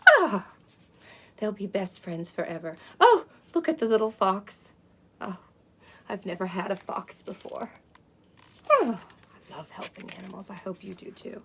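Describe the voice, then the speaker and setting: A woman's voice: medium pitch, sing-song, unhurried, pauses often, pushed and bright, husky, heavily acted. A woman playing a sweet, wide-eyed unicorn who hosts story time for children, with cooing wonder and exaggerated emphasis. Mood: enchanted, cooing. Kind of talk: animated picture-book reading for children.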